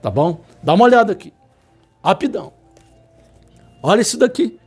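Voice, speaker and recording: A man's voice: high voice, sing-song, husky, faint music behind. A man, an electronics instructor giving a motivational talk to students, repeating his points and stressing words insistently. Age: 50s. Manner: repetitive, emphatic, insistent, with sudden slow stressed words.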